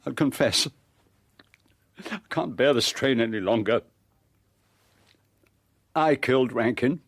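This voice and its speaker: An actor playing an anguished, indignant British husband in a radio drama, strained and stammering. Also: male voice, mid-range voice, slow, fairly bright tone, breathy edge, dry recording, clean studio sound, exaggerated voice. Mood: distraught.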